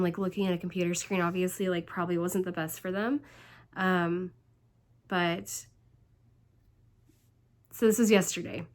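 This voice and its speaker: A woman with a hoarse, congested voice from laryngitis and a cold talks casually to her viewers. Her pitch sits in the middle of the usual range for women. She rambles along with plenty of "like" and "um". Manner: rambling, chatty, full of "like" and "um". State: congested, stuffed up. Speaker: vlogger. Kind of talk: casual storytelling vlog.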